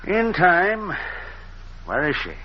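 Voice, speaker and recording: An actor playing a gruff, shrewd rural lawman in an old radio mystery, questioning in a blunt, folksy, dry way. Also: sits high, huge pitch swings, takes it slowly, exaggerated voice. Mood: suspicious, dry. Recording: muffled, noisy old radio recording.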